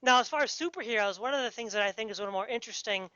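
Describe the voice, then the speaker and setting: A woman's voice: fairly high pitch, very fast, sharp tone, smooth, no room sound. A woman hosting a casual podcast interview in an informal, enthusiastic way. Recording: dry, dull lo-fi audio.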